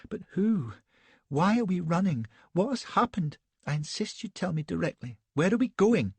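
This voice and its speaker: A man voicing a flustered, naive young Victorian wife who asks one anxious question after another in a high, breathless voice. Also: big pitch swings, moderate pace, a little mellow, husky, no room sound, full character voice. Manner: breathless, fussy, plaintive questioning.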